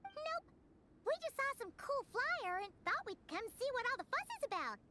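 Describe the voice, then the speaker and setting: Tiny cheery game sidekick girl: extremely high, squeaky, childlike, animated. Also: moderate pace, a little hiss or hum, heavily acted. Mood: cheerful, chatty. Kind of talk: video game voice acting.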